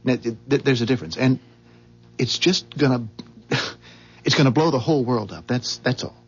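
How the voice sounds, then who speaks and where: An actor playing a rattled, suspicious husband in a 1970s radio drama, stammering and emphatic on a muffled recording. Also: male voice, mid-range voice, moderate pace, husky. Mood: agitated, uneasy.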